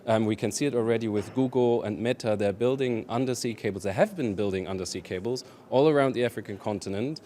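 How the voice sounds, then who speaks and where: A man panelist speaking in a calm, deliberate way in a discussion. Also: lowish voice.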